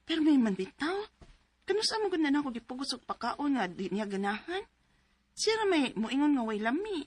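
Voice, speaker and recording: A woman's voice: sits high, fairly quick. A woman playing a married mother of two, doting but easily exasperated, in a radio drama. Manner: expressive, motherly, scolding at times. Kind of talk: radio drama acting with letter narration.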